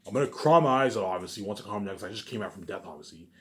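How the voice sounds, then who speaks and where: A man talking casually in a rambling, repetitive monologue, swearing often and swinging between loud emphatic bursts and quieter asides. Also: fairly low pitch, expressive, very fast. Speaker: vlogger.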